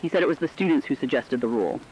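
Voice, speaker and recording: A man's voice: mid-range voice, expressive, racing, a little mellow, slight background noise, close to an everyday voice, rough recording. A man playing an earnest radio correspondent, telling human stories in solemn, scripted narrative reporting. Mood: solemn, moved.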